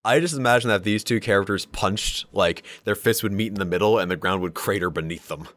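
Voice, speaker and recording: A man's voice: lowish voice, a little fast, fairly bright tone, no room sound. A man co-hosting a podcast in casual, joking, sweary banter. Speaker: podcast co-host. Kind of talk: casual banter about tabletop wargaming.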